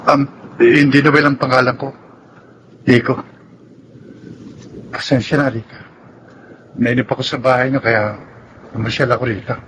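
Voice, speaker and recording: An actor playing a gentle, sincere young husband, apologetic but firm, in radio melodrama style. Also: male voice, medium pitch, level tone, unhurried, pauses often, background noise, close to an everyday voice.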